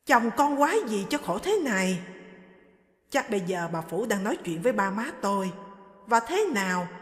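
A woman's voice: mid-range voice, big pitch swings, normal speed, lightly acted. A woman voicing a wronged, pregnant young wife who pleads plaintively, in a roomy space with long reverb.

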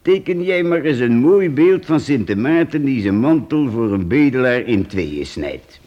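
An actor playing a shrewd, teasing old priest who teaches children in a radio play, probing and mock-stern. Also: male voice, medium pitch, expressive, measured pace, highs cut off, lightly acted.

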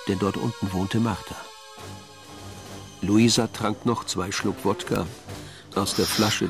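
A man reads scripted narration for a radio drama. His voice is on the low side with a gravelly creak, and music plays behind him.

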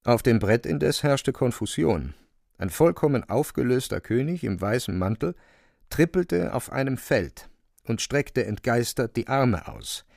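A man narrating a literary radio play with a creaky voice. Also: mid-range voice, very animated, measured pace, darkish tone, dry recording, clean studio sound.

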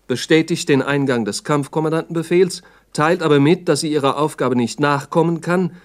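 A man narrating a 1960s radio play as a sober, formal, factual report. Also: fairly high pitch.

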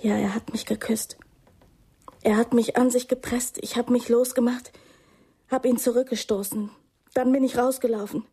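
An actress playing a defensive, prim young woman in a radio crime drama, speaking in a breathy, dramatic, indignant manner. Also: fairly high pitch, steady tone, normal speed, warm tone. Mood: distressed. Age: young adult.

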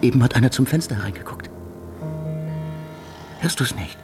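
An actor playing a tormented, haunted soldier in a radio drama, speaking haltingly and often dropping towards a whisper. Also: male voice, mid-range voice, animated, music in the background, lightly acted. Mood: haunted, agitated. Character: tormented, haunted young soldier hearing voices, submissive to superiors. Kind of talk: intense radio drama acting.

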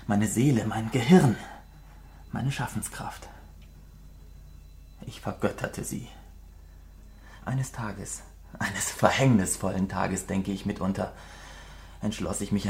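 An actor in a German radio drama playing an earnest, adoring painter who is troubled and wistful and speaks in formal, confessional phrases. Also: male voice, on the low side, a little mellow. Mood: grave, urgent.

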